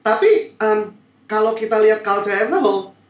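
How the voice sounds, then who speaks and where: A man's voice: high-pitched, a little fast. A young Indonesian man with a Jakarta accent, explaining his views casually and hesitantly, mixing in English phrases. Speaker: podcast panelist. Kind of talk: casual opinionated discussion. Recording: roomy echo.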